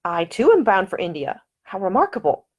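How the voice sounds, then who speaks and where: A woman voicing a spirited young woman traveler who talks in a brisk, quick-witted way. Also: medium pitch, huge pitch swings, muffled recording, pitched above the performer's own voice.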